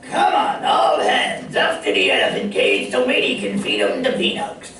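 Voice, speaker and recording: Gruff, chuckling cartoon sailor, pitch leaping about, very echoey stage. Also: male voice, huge pitch swings, normal speed, fairly bright tone. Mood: jolly, kindly. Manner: chuckling, folksy, ungrammatical sailor talk. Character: gruff, good-hearted cartoon sailor man with mangled grammar. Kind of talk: old radio comedy acting. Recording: roomy stage recording, far mic, faint noise.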